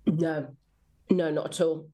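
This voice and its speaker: English woman, lowish deep voice, chatting away in an interview, kinda self-deprecating. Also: level tone, takes it slowly, warm tone. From rural Devon, England. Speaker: interview guest, children's drama commissioning editor. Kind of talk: casual reflective interview chat.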